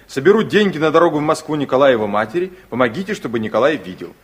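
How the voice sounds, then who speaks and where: An actor playing an impulsive, earnest, big-hearted young son with a husky voice in a 1960s Soviet radio play. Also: male voice, medium pitch, expressive, rapid-fire. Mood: pleading, reading aloud. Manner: eager, insistent.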